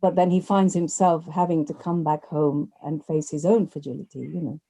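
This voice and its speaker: A woman's voice: sits low, fairly even intonation, normal speed, mellow, poor audio. A woman actress talking in an interview, chatty and full of "you know" fillers. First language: not Urdu. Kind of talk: conversational interview.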